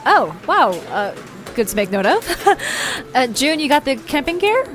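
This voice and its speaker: An earnest, determined young woman played by an actress in an audio drama, casual among friends. Her voice is fairly high and very animated, with faint music behind it. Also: unhurried, heavily acted. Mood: casual, organising.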